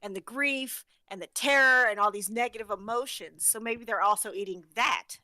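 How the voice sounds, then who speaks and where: A woman's voice: on the high side, moderate pace, sharp tone, dry recording. A woman in casual, playful conversation, talking informally. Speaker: audio drama after-show participant. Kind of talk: casual chat.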